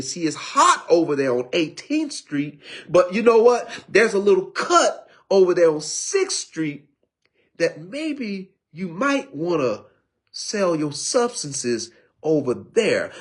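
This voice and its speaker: A man's voice: fairly high pitch, fairly bright tone. A man in his fifties giving a streetwise personal testimony, preachy in tone and full of restarts and repeated words. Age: 50s. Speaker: recovery speaker.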